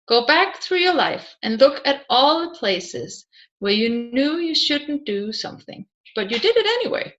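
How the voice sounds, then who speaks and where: High-pitched woman narrating an audiobook. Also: bright, forceful tone, rough recording.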